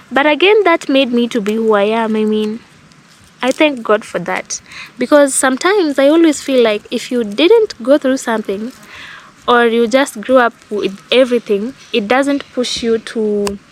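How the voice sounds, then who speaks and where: A young woman with a Kenyan accent tells stories to her viewers in a relaxed, reflective vlog. Her voice sits a little high, and there is a faint hiss under the recording. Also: poor audio. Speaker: vlogger. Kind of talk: casual storytelling to camera.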